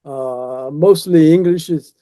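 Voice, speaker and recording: A man's voice: a little high, slow pace, warm tone, dry recording, clean studio sound. A man whose first language is Italian, speaking earnestly as a professor in an interview. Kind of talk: conversational interview, reading a poem aloud.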